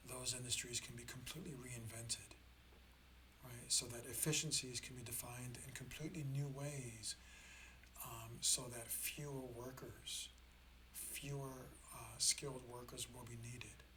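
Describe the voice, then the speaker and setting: A slightly hoarse man hosting a reflective spiritual talk, speaking in a halting, deliberate way and often checking in with the listener. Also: mid-range voice, steady tone, noisy background. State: slightly hoarse after a throat infection. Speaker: host of a spiritual learning channel.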